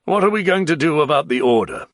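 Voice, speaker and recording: A man's voice: on the high side, lively intonation, fast, a bit bright, no echo, studio quality, voice pushed up. A man narrating an audiobook, voicing a stern, curt, commanding senior nobleman who speaks sharply and emphatically. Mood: grave, brisk command.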